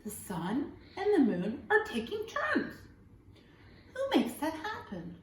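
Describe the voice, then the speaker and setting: A woman teacher reading a children's story aloud with expressive, dramatic phrasing and questions to young listeners. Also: high-pitched, slow, roomy sound, slight background noise.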